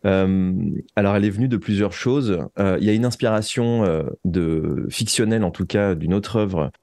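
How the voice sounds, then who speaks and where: A man with a deep, soft-edged voice. He tells anecdotes as an interview guest, pausing and rephrasing as he circles toward his point. The sound is dry, with little room. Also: no echo. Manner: hesitant, digressive, with frequent self-rephrasing. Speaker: interview guest, author of audio fiction. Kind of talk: conversational storytelling in an interview.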